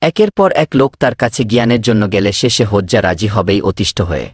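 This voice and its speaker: A man's voice: lowish voice, animated, fast talker, husky, no room sound, clean studio sound. A man voicing an eager village man in a cartoon, earnest and persuasive as he lays out plans. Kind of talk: cartoon voice acting.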